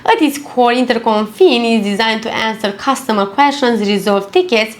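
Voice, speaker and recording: Higher-pitched woman narrating a scripted review. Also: moderate pace.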